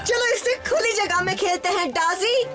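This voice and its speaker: A woman voicing a brave, playful young jungle boy in a cartoon dub. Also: extremely high pitch. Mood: playful, curious. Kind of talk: Hindi cartoon dub acting.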